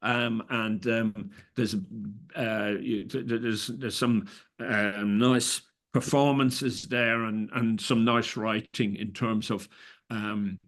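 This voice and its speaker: Irish festival director, middle-aged man, low-pitched, halting and searching for words. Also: steady tone, a bit bright. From Ireland. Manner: hesitant, many fillers and restarts. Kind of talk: interview.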